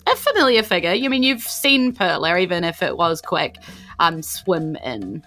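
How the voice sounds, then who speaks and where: A woman's voice: lowish voice, sing-song, moderate pace, fairly bright tone. A woman, casually narrating a tabletop game podcast as game master over music. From New Zealand.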